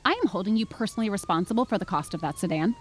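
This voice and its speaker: A woman acting a wry, practical, steady companion in a science fiction audio drama. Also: mid-range voice, rapid-fire, a little hiss or hum, lightly acted. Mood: dry, practical.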